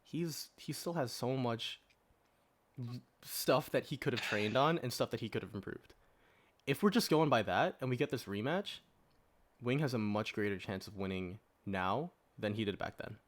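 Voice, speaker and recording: A man's voice: medium pitch, normal speed. A man co-hosting a podcast, giving his opinions emphatically in casual conversation. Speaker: podcast co-host. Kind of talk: casual chat.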